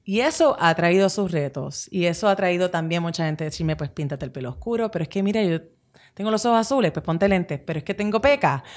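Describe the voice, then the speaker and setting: A woman's voice: on the low side, expressive, brisk, darkish tone. A woman in her forties with a Puerto Rican accent, chatting candidly as an interview guest, talking with her hands and slipping into English phrases. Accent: Puerto Rican Spanish. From Puerto Rico. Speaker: guest, presenter and actress. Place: small studio with a table. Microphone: table mic on a stand.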